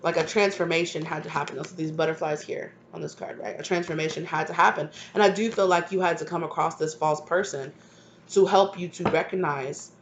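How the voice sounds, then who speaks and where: A woman's voice: deep voice, steady tone, a little fast, muffled recording, a little hiss or hum. A woman with a stuffed-up voice from a cold, giving a channeled tarot reading to her viewers with affectionate asides and repeated "right?" tags. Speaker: tarot reader.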